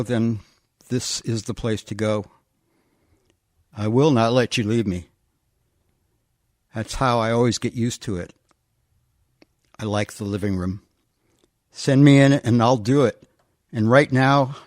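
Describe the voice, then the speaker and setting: An actor playing an earnest, lovesick husband, pleading deliberately and sincerely in a radio comedy. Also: male voice, medium pitch, darkish tone, dry recording, studio quality, natural voice. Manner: deliberate, sincere delivery of absurd lines. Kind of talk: radio comedy romantic monologue.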